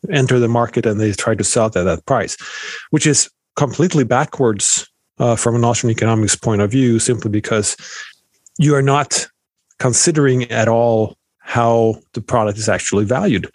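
A man's voice: medium pitch, a little mellow, dry recording. Swedish-born man explaining economics. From Sweden. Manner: thoughtful, with frequent restarts and fillers. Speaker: economics professor guest. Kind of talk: explanatory interview answers.

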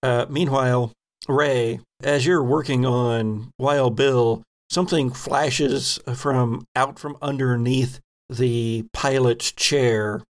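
A man improvising narration as a tabletop game master, halting as he searches for words. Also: medium pitch, steady tone.

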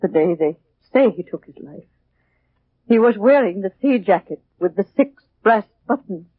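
An actress playing a devoted, deferential, easily upset housekeeper in a 1940s radio thriller. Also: female voice, mid-range voice, lively intonation, moderate pace, background noise, lightly acted. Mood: ominous, hesitant. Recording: muffled, narrowband 1940s radio recording.

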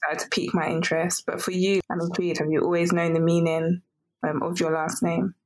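A woman's voice: lowish voice, level tone, brisk, low-quality recording. A woman of Nigerian heritage hosting an interview, asking curious, friendly questions in a casual way. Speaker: podcast host.

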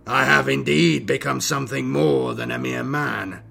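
A man voicing a scarred, corrupted older inquisitor in an audiobook, with a hissing, gravelly voice and a slow, grandiose, scornful delivery. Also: mid-range voice, fairly even intonation, sharp tone, faint music behind, pitched above the performer's own voice, exaggerated voice. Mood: proud, insistent.

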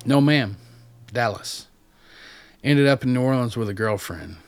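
An actor playing a reclusive, rough-mannered ex-addict loner with a wry, folksy way of talking in a radio drama. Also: male voice, medium pitch, expressive, a little slow, faint music behind. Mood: guarded, wry. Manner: folksy, plain-spoken, wry.

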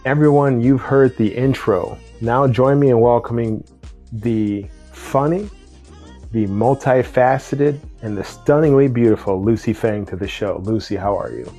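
A man's voice: mid-range voice, measured pace, dark tone, faint music behind. A man hosting a podcast, speaking as an interviewer introducing and chatting with a guest. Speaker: podcast host. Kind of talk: scripted intro, then casual interview.

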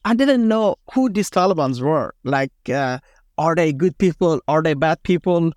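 A man in his thirties from Afghanistan with an Afghan accent, speaking haltingly with many fillers as an interview guest. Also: fairly high pitch, animated, a little mellow, no echo, studio quality. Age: 30s. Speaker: guest, former special forces commander. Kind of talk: casual interview talk.

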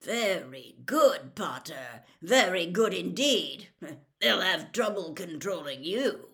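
A woman voicing a gruff, grizzled old male wizard teacher who growls and barks his commands loudly and slowly. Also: mid-range voice, lively intonation, heavily acted. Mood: rough approval. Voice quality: growling, gravelly. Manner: barking commands, loud and slow.

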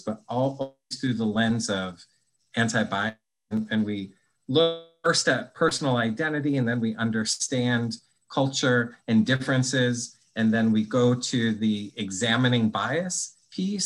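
Thoughtful man explaining in a relaxed interview. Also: on the low side, rough recording. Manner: thoughtful, explanatory, frequent restarts. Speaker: guest, anti-bias trainer. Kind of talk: conversational podcast interview.

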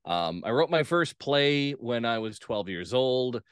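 A man talks casually and enthusiastically as a guest in an interview. His voice is mid-pitched and recorded very dry, with no room sound. He likes to punctuate his points with short checking questions. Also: expressive, a little slow, clean tone, dry recording. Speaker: playwright and actor, podcast guest.

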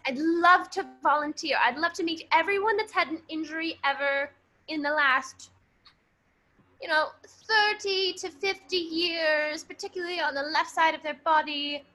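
Rambunctious lady detective, played by a woman: very high, bright voice, eager and insistent. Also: moderate pace, low-quality recording. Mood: earnest, eager. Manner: eager, insistent, over-earnest. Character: rambunctious earnest woman amateur detective obsessed with kicking. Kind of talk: improvised radio-play parody.

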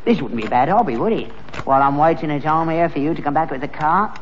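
An actor playing a crotchety old rag-and-bone father, gruff and taunting, in a 1960s radio sitcom. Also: on the high side, fast talker, natural voice. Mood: smug. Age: older. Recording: dull old radio recording with long reverb and faint hiss.

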